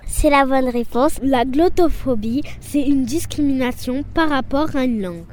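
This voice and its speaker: A woman interviewing passers-by on the street, reading out quiz questions and answers clearly. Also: high voice, moderate pace, a little mellow, a little hiss or hum. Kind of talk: vox pop quiz interview.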